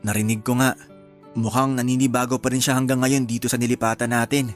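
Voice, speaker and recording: A man's voice: mid-range voice, flat delivery, a little fast, soft background music, natural voice. An actor playing a devoted young husband and father in a radio drama, reassuring and eager. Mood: loving.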